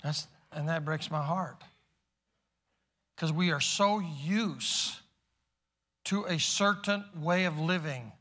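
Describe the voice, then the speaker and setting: A man preaching a sermon as pastor, moving from loud emphatic calls to quiet, hushed asides. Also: a little high, slow pace, pauses often. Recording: long reverb of a large hall.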